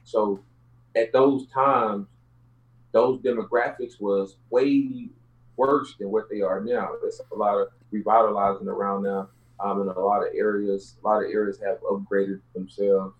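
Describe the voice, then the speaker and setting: Low-voiced Houston man, muffled audio. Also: level tone, unhurried. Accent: Houston Southern US. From Houston, Texas, United States. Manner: colloquial, streetwise slang. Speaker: interview guest. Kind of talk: casual personal storytelling interview.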